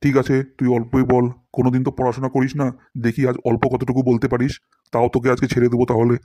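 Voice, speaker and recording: Man acting a cartoon history teacher, strict, scolding, rapid speech, even pitch, bossy. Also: dry recording, close to an everyday voice. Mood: suspicious, grudging. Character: strict, grumbling male school history teacher. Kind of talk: comedy cartoon dubbing.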